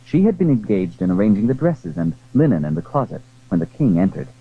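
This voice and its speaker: A man's voice: fairly low pitch, big pitch swings, quick pace, husky. A man narrating a literary audio drama in a formal, dramatic storytelling style. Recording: muffled, narrow-band 1980s audio drama recording.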